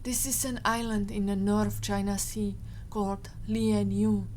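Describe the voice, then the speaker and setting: Casting: actress as measured, informed female agent for audio drama, mid-range voice over light background noise. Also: medium pitch, flat delivery, a little mellow, close to an everyday voice. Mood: composed, explanatory. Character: cool, informed female Interpol agent held captive.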